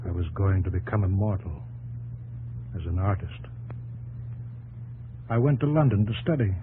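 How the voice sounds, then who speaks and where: An actor playing a bitter, weary inventor professor in his fifties, halting and brooding, in a 1950s radio drama. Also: sits low, expressive, narrow, tinny audio, a little hiss or hum. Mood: despondent, weary. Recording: old radio broadcast, music behind.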